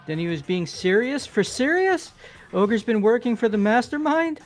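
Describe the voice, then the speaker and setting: A man's voice: very high voice, big pitch swings, moderate pace, soft background music. A man voicing an uneasy, doubting young male student in a visual novel game.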